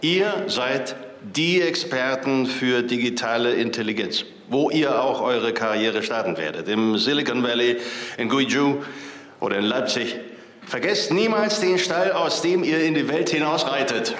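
An actor playing a pompous, patronising university professor in a radio play. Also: male voice, mid-range voice, measured pace, lightly acted. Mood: grand, rousing. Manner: oratorical, patronising. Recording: reverberant hall, loud ambient noise.

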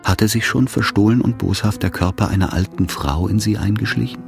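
A man narrating a literary radio drama in a measured, solemn manner. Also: on the low side, vocal fry. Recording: orchestral music behind the voice.

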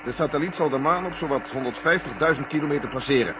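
A man's voice: on the high side, quick pace, lightly acted. Guy doing older general, blunt and frank, sci-fi radio play from 1970, dull hissy old tape. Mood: tense, candid. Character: gruff, candid older male general heading space mission. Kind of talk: 1970 Dutch radio drama acting.

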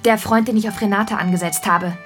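A woman's voice: mid-range voice, steady tone, fast talker, faint music behind, natural voice. An actress playing a confident, scheming woman in a melodramatic soap within a radio drama. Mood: conspiratorial.

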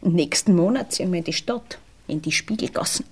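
An actress in a radio play voicing a sleepy, unsentimental young Viennese streetwalker, casual and blunt with a coquettish drawl. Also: female voice, fairly low pitch, brisk, husky. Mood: matter-of-fact. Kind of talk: naturalistic radio play acting.

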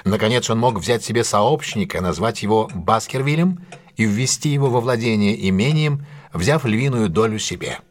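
An actor playing a shrewd detective in an audio drama, laying out a case in a measured, articulate way with deliberate pauses. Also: male voice, on the low side, lively intonation, natural voice. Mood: thoughtful, deliberate. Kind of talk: audio drama acting, expository monologue.

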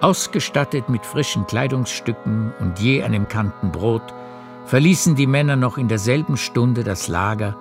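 A man narrating a literary radio play in a measured storytelling manner. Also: mid-range voice. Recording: music behind the voice. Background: music.

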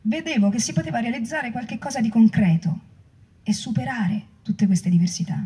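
A woman's voice: fairly high pitch, moderate pace, mellow, breathy edge. A woman performer giving a dramatic reading of first-person testimony. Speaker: performer reading book extracts.

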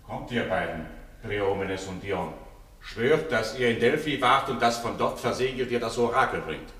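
An actor playing a stern, ceremonious court official in a classical radio play, giving commands. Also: male voice, fairly low pitch, expressive, moderate pace, a bit bright. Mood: commanding. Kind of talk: classical German radio play stage acting. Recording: echoey hall, distant from microphone.

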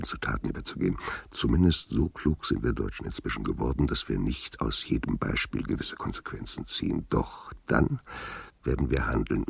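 An actor playing an insistent, ponderous orator in a literary radio drama, with a gravelly, husky voice and declamatory manner. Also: male voice, a bass voice, darkish tone, dry recording, exaggerated voice. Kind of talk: literary radio play acting.

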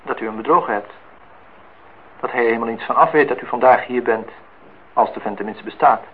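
A man's voice: medium pitch, brisk, husky, natural voice. An actor playing a guarded, laconic man in his early thirties in a Dutch radio play, answering tersely and dryly. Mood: needling. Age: 30s. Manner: terse, dry replies. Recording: dull, noisy old radio play recording.

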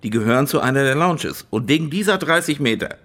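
An actor playing a harried, evasive stadium managing director, flustered and nervously jovial, in a radio crime drama. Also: male voice, medium pitch, animated, fast talker, fairly bright tone. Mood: weary, aggrieved.

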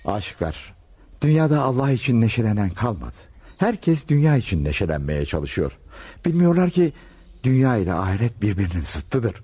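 A man's voice: medium pitch, dark tone, slightly rough. A male actor playing a kindly, wise Sufi master in a radio play, solemn and fatherly. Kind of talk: Turkish radio theatre acting.

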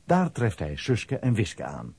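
A man narrating a children's radio play as an expressive storyteller reading a script. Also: lowish voice, slow pace, gravelly, husky, dry recording.

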